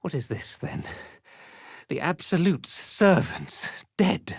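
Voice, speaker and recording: A haughty male dark elf zealot from a video game, imperious and menacing. He speaks slowly and deliberately, with pitch that swings theatrically, in a very dry, close recording. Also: lively intonation, creaky, husky, no room sound, exaggerated voice. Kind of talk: video game character acting.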